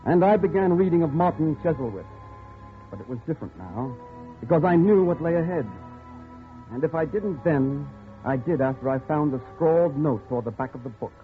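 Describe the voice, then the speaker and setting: Guy playing a weary captive man in an old radio play, kinda high voice, very emphatic, tinny sound. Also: expressive, brisk, soft background music, lightly acted. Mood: grim recounting. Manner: earnest, dramatic, emphatic. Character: desperate male captive of a jungle recluse, weary, pleading. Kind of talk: old-time radio drama acting and first-person narration. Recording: tinny, band-limited vintage radio recording.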